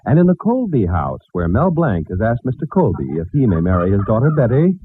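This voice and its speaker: A man's voice: medium pitch, huge pitch swings, a little fast. A man announcing a 1940s radio show in a projected, formal showman style, reading scripted narration. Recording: scratchy, tinny old-time radio recording.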